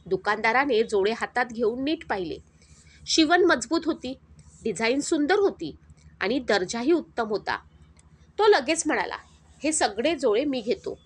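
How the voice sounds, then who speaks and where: A woman narrating a moral story in a steady, dramatic storytelling manner. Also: a little high.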